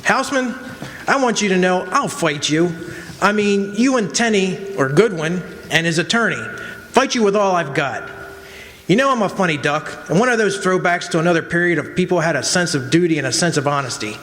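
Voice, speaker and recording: A man's voice: high voice, animated, fairly quick, fairly bright tone. A man playing a principled, combative old-fashioned prosecutor, blunt and formal, in a radio drama. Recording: reverberant hall stage recording.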